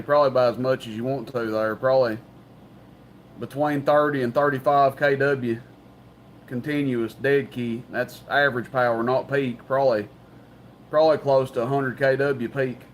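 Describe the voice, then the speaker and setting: A man's voice: medium pitch, fairly even intonation, normal speed. A man explaining technical things casually and carefully on a CB radio chat. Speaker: CB radio operator. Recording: CB radio chat with faint noise.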